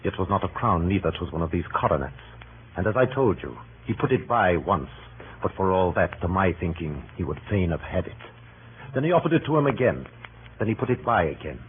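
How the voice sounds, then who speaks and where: An actor playing a blunt, scornful Roman in a radio Shakespeare drama, telling tales in a sardonic, theatrical way. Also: male voice, low voice, sing-song, brisk. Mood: scornful, mocking. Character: blunt, scornful Roman man mocking the mob. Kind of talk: 1930s radio Shakespeare acting. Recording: tinny, hissy old radio recording.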